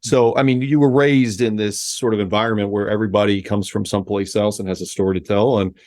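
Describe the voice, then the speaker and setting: A man's voice: on the low side, steady tone, quick pace, a little mellow, no room sound, studio quality. A man in his forties from Miami whose first language is Spanish, talking in an earnest, reflective way as an interview guest. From Miami, United States. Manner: reflective and earnest, with frequent self-restarts. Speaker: guest, sports executive. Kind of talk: conversational interview.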